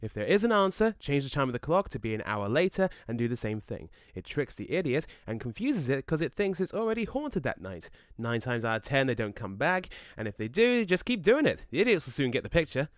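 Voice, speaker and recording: A man's voice: medium pitch, sing-song, brisk, hardly any pauses, no room sound, studio quality, natural voice. A man playing a laid-back, cheeky ghost in an audio drama, speaking casually and bluntly. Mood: matter-of-fact.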